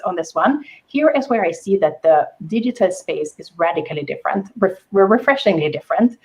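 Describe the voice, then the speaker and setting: A woman with a soft voice speaking on a panel over a video call, thoughtful with many fillers and restarts. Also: mid-range voice, poor audio. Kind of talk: panel discussion talk.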